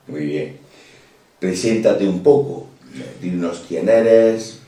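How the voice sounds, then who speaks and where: A man hosting his own channel and interviewing a guest, asking short, measured questions. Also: low voice, warm tone, distant mic. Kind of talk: casual interview. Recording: roomy, echoey, voice away from mic.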